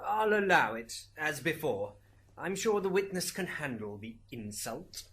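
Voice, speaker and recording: A man's voice: a little high, big pitch swings, a little slow, a bit bright. A man acting an authoritative afterlife judge, formal and commanding, in an amateur radio drama. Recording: hissy amateur recording, background noise.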